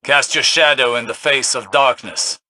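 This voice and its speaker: A man's voice: medium pitch, moderate pace, pushed and bright, no echo. A man acting a studious, solemn companion spirit in a video game, speaking precisely and broodingly like an erudite investigator. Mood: intent. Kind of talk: dramatic video game dialogue.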